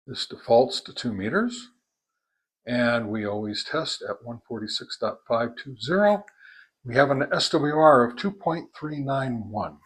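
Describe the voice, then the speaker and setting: An American man hosting a casual hands-on gear review, talking in a halting, plain-spoken, folksy way. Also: medium pitch, animated, a bit bright, husky, no room sound. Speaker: host of a ham radio gear review channel.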